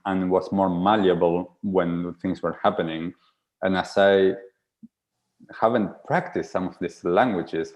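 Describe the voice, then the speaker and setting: A man from Argentina, whose first language is Spanish, hosts the podcast in a very low voice. He thinks aloud in a reflective way, leaning on fillers and restarting his sentences. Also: darkish tone. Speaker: podcast host. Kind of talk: conversational podcast interview.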